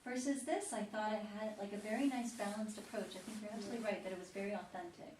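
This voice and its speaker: A woman in a casual group discussion, analytical and quick to agree. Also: medium pitch, fairly even intonation, darkish tone. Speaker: audience member in discussion. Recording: roomy, distant, faint noise.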